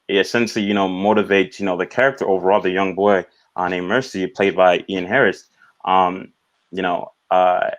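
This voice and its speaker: A native Swahili-speaking man with a very low voice gives casual interview answers as a film director. He talks haltingly, padding his phrases as he goes. The audio is dull and lo-fi. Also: a bit bright. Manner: halting, repeats "you know".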